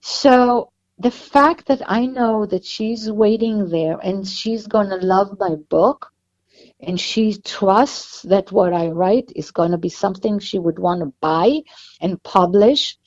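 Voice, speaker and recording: A woman's voice: medium pitch, slow pace, a little mellow, dull audio. A woman with an Israeli accent, an author guest talking at length in an interview. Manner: chatty, explains at length with restarts.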